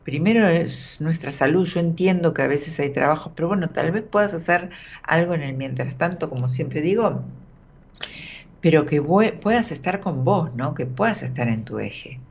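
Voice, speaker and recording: A woman's voice: sits low, expressive, normal speed. An Argentine woman hosting a live call-in tarot show, chatting informally and reassuringly with frequent tag questions. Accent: Argentine Spanish. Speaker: radio host and tarot reader. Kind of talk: live call-in advice chat. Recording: dull, band-limited audio.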